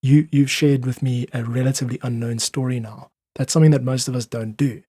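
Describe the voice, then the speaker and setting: South african guy on a podcast, bit husky, dry close sound, making his points hard and casual. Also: medium pitch, steady tone, a little fast, dark tone, no echo. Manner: emphatic, persuasive, informal. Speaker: musician, podcast guest. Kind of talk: conversational interview, opinionated monologue.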